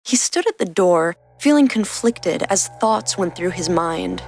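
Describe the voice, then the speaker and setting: A woman's voice: on the low side, lively intonation, unhurried, music in the background. A woman podcast host reading a scripted story as its narrator.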